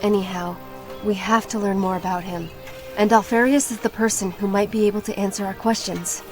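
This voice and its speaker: A woman's voice: mid-range voice, steady tone, brisk, lightly acted. A woman performs a resolute young female game warrior. She speaks in a middle register, firm and businesslike, with music playing behind the scene. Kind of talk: video game cutscene acting.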